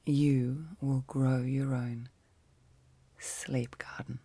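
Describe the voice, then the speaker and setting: Slow, whispery woman guiding sleep meditation. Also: extremely low pitch, level tone, no room sound. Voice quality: soft, breathy, often whispered. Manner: gentle, soothing, long pauses between short phrases. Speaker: guided meditation host. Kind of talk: ASMR guided sleep meditation.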